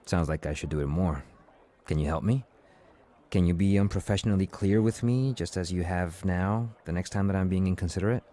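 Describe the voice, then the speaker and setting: A man acting in an audio comedy drama as an earnest, smooth-talking office colleague with formal phrasing. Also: low voice, fairly quick, dark tone, natural voice. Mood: contrite.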